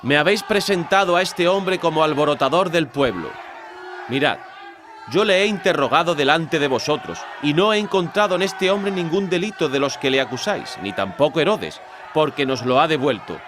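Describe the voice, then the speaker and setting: A man's voice: fairly high pitch, lively intonation, moderate pace, a crowd in the background, noisy background, close to an everyday voice. A man playing an authoritative Roman governor, declaiming in a dramatized scripture reading. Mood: declaiming, firm. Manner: declamatory, commanding, projected. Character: Pontius Pilate, authoritative Roman governor addressing accusers.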